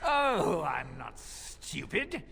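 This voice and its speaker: Swooping, gloating mad mage villain. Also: male voice, fairly high pitch, very animated, extremely slow, gravelly, pushed and bright, full character voice. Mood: scornful. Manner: pompous, mock-polite, melodramatic. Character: gloating mad mage turned abomination villain, theatrical and condescending. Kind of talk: fantasy game villain voice acting. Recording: long hall-like reverb.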